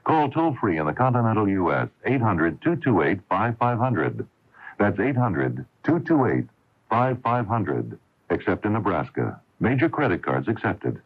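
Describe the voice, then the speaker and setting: Male American commercial announcer, low and animated, scripted pitch, thin phone-like vintage AM sound. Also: measured pace, husky. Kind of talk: scripted radio advertisement. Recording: narrow, tinny vintage AM radio broadcast.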